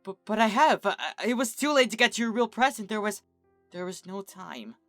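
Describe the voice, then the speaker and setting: A man's voice: high voice, brisk, a bit bright, dry recording, clean studio sound, soft background music, voice pushed up. A man voicing a small, frightened young filly who stammers through tears. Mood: tearful. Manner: tearful, stammering.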